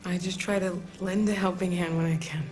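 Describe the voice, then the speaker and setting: An American woman acting as a kind but guarded middle-aged mother in a video game, gentle and plain-spoken. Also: fairly low pitch, fairly even intonation, brisk, faint music behind, lightly acted. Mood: worried. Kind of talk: naturalistic cinematic game acting.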